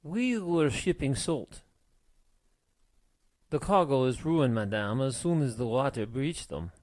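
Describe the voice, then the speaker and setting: A man's voice: medium pitch, lively intonation, takes it slowly, a little mellow, no room sound. A man voicing a grateful, deferential French sailor in an audiobook, with a French accent and polite, pleading manner. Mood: explaining. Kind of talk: audiobook character voice.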